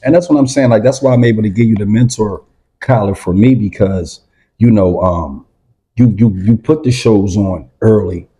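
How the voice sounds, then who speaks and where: A man interviews a guest in easy, rambling street talk, repeating himself as he builds a point. His voice is husky and mellow, with a relaxed, unhurried warmth. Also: fairly low pitch. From Philadelphia. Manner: loose, rambling, repeats words, slangy. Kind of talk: casual interview chat.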